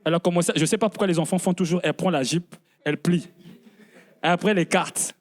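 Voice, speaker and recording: A young man speaks French with a Congolese accent in a high, light voice. He tells his stand-up stories with animated energy and often laughs mid-line. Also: brisk. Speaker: stand-up comedian. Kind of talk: stand-up routine.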